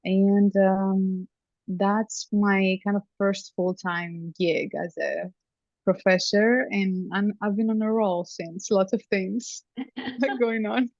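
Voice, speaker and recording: A woman from Greece, a family therapy professor, chatting as a guest in an interview over a video call, talking easily with frequent ums and soft laughs. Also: mid-range voice, steady tone, slow pace, no room sound. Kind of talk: conversational interview. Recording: dry, dull lo-fi sound.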